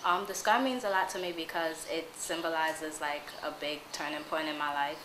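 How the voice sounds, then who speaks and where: A young woman in her early twenties speaks with a low voice, pausing on "um" and "like." She is recorded in an echoey room with clearly audible background noise. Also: fairly even intonation. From Queens, New York. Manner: hesitant, with many "um"s. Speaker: interviewee. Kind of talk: casual interview.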